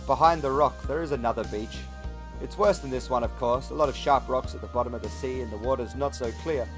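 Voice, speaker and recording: Guy doing a finnish-accented young woman poet, slow and casual, mid-pitched, soft music behind. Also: natural voice. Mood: chatty. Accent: Finnish, put on.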